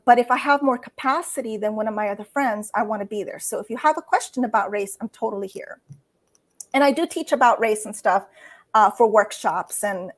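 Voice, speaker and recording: A middle-aged woman speaking as a guest writer in a casual interview, earnest and halting, with many fillers and restarts. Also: medium pitch. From United States.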